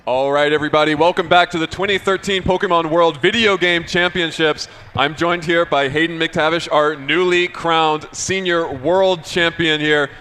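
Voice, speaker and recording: A man's voice: on the high side, fairly even intonation, moderate pace, few pauses, pushed and bright, soft background music. A man commentating and interviewing live at a video game tournament, with an enthusiastic, congratulatory manner. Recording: hall reverb, background noise.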